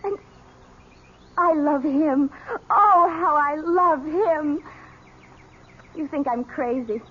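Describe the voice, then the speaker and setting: A woman's voice: sits high, slow. An actress playing a headstrong, lovestruck young woman who loves horses, in theatrical, impassioned 1970s radio drama style. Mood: lovestruck, defensive. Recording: dull, noisy 1970s radio recording.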